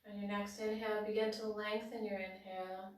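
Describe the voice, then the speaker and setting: A woman leading a guided breathing meditation at the end of a yoga class, giving slow, soothing instructions. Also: mid-range voice, little pitch movement. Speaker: yoga teacher. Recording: very roomy, from far off.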